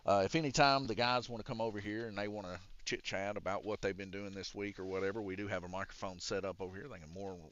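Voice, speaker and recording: A low-voiced man with a raspy, chest-congested tone hosts a live-streamed RC race night indoors. His voice is deep yet forceful and bright-edged, and he rambles through the rules with frequent fillers. The sound is dull and lo-fi, like a hobby live stream. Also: fairly even intonation, quick pace, few pauses. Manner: rambling and explanatory, with many "uh" fillers. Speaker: race announcer and organizer. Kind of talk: casual live-stream commentary. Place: indoor RC raceway shop. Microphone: wired or wireless handheld mic.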